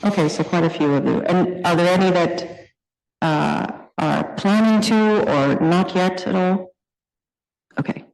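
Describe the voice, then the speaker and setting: A woman's voice: lowish voice, unhurried, vocal fry, darkish tone. A woman moderating a conference session, informally introducing a speaker in a large room. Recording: echoey, reverberant conference hall.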